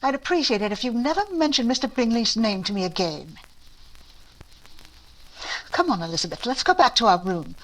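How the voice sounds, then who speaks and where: A woman playing a gentle, heartbroken young Regency sister in a 1940s-style radio drama, sincere and plaintive. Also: a little high, big pitch swings, moderate pace, long pauses, rough recording. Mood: upset, pleading. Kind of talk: recreated 1940s radio play acting.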